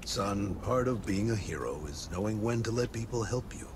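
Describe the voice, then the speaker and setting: Man acting a deep-voiced fatherly frontier preacher. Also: expressive, normal speed, noisy background, lightly acted. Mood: wry, fatherly. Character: fatherly, pious frontier preacher, gentle, grave older man. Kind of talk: western video game cutscene acting.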